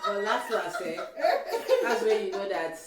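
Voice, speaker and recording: A woman's voice: mid-range voice, measured pace, reverberant, distant mic, very noisy. A woman co-hosting a casual chat who gives her opinions with emphasis. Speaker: podcast co-host.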